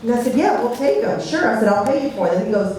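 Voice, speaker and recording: Lively Texan woman telling stories on stage. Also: on the high side, fast talker, a little hiss or hum. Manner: lively, laughs often, tells stories. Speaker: podcast co-host. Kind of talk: live podcast banter, storytelling. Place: comedy club stage. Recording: echoey club from a distance.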